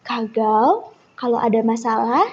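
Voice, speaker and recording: A kind, wise village woman, acted out by a female storyteller speaks in a high, gentle, reassuring way. She belongs to a children's tale, heard in a muffled recording with long hall reverb. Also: expressive, measured pace, slight background noise.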